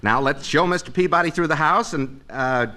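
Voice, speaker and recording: Bright, brash scheming buddy. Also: male voice, on the high side, normal speed. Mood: placating. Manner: glib, wisecracking. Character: fast-talking, brash, scheming male buddy. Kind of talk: old-time radio comedy acting.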